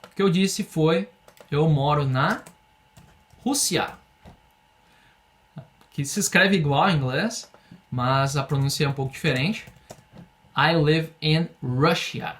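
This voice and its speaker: A young Brazilian man teaches an online English class, walking students through phrases with patience. He slows way down to model each phrase for repetition. His voice sits a little high for a man. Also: fairly bright tone. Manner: patient, explains slowly, drills phrases for repetition. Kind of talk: online language lesson.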